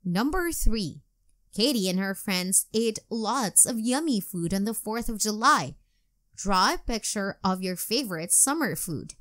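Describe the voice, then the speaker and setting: A woman narrating a children's storybook as a read-aloud, in a deliberate, clearly enunciated storytelling manner. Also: medium pitch, lively intonation, fairly bright tone.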